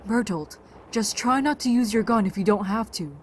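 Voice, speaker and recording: A woman playing a brisk, practical and confident survivor in a fan-made audio drama. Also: medium pitch, lively intonation, a little hiss or hum, natural voice.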